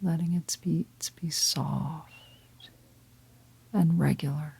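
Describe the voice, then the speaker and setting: A woman leading a guided meditation in a calm, gentle, breathy half-whisper, unhurried and with long pauses. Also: sits low. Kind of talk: guided meditation, slow soft-spoken instruction.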